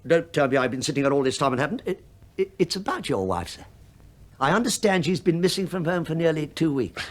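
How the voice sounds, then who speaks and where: A man's voice: a little high, animated, fairly quick, lightly acted. An actor playing an English detective inspector in a radio play, a falsely affable, crafty middle-aged man who chats, hesitates and mock-apologises as he digresses. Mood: innocently probing.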